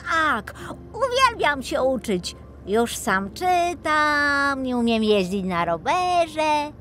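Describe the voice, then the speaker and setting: A woman's voice: high voice, very animated, takes it slowly, a bit bright, a little hiss or hum, full character voice. A woman voicing an eager little boy of five in a children's audio tale. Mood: excited, eager. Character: eager five-year-old boy who loves adventure.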